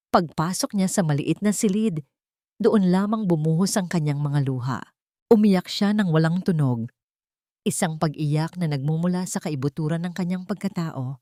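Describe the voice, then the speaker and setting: Dramatic woman storyteller with a lowish voice. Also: mellow, no room sound, studio quality. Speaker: storyteller narrator. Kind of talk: scripted dramatic narration of a romance story.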